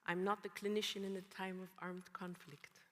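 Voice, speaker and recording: A woman from Rwanda, a symposium speaker, giving slow, measured, poetic personal testimony. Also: medium pitch, level tone, a bit bright. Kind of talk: spoken-word personal testimony. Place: large conference hall. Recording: long hall reverb.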